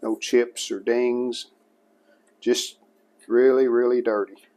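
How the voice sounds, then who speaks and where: A man giving casual hands-on narration of a repair as a hobbyist restorer, plain-spoken and unhurried, thinking aloud as he works. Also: medium pitch, flat delivery, mellow.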